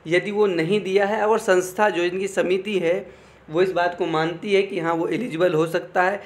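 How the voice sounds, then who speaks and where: Guy with a high voice explaining a notice, repeats himself, keeps checking you follow. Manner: repeats phrases and often adds check-in tags. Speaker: exam information presenter. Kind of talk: informal explainer, reading out a notification and commenting.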